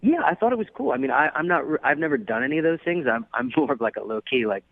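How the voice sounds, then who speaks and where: A man's voice: medium pitch, lively intonation, quick pace, dry recording, narrow, tinny audio. A man calling in as a radio guest, telling a story in a casual, earnest, slightly self-conscious way with lots of hedging. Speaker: radio call-in guest recounting a date. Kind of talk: casual anecdotal chat.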